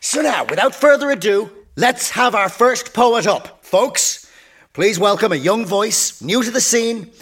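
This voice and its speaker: A man's voice: sits high, lively intonation, fairly bright tone, husky, exaggerated voice. An actor in a radio drama playing a charismatic male poetry-night compere, theatrical and full of hype. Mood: showy, excited. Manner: theatrical, hyped, drawn-out build-up. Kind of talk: stage announcing in radio drama.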